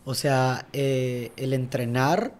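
A man's voice: medium pitch, steady tone. A young man, a tennis coach and guest, telling his story in a casual podcast chat and often asking "¿sabes?". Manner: reflective, fast storytelling with frequent "¿sabes?".

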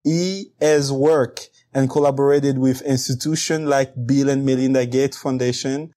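A man's voice: mid-range voice, fairly even intonation, a little slow, warm tone. A man hosting an interview show, upbeat as he reads out a guest's introduction and asks questions. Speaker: host.

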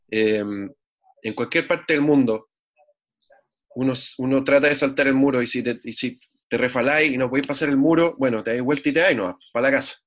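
Chilean guy chatting loose in an interview, trips over words, lots of slang. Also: mid-range voice. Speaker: guest musician. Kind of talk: casual interview chat.

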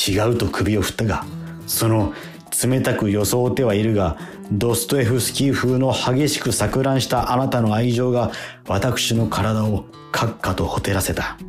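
A man giving a measured, earnest dramatic reading of a literary letter. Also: on the low side. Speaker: radio host reading literature aloud.

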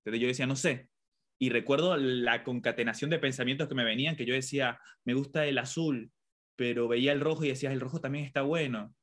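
A man's voice: mid-range voice, fairly even intonation, fast talker, a bit bright. A young man from Venezuela with a mixed Venezuelan, Colombian and Argentine Spanish accent, telling his life story reflectively as an interview guest. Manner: tells anecdotes, acts out childhood voices.

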